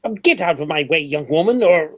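A man's voice: a little high, animated, a little fast, dry recording. An actor playing a haughty, wealthy eccentric man in an old radio comedy, theatrical and easily affronted. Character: wealthy, eccentric, huffy male egg expert. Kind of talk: 1940s radio comedy acting. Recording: dull old radio recording.

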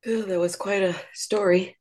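A person with a gravelly voice, chatting casually. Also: medium pitch, steady tone, normal speed, a little mellow. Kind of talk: casual chat.

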